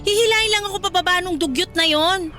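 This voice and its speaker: A woman's voice: extremely high pitch, quick pace, sharp tone, faint music behind. A woman playing a haughty, materialistic young Filipina in a radio drama, sassy, slangy and dismissive, with a Filipino accent. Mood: scornful, irritated.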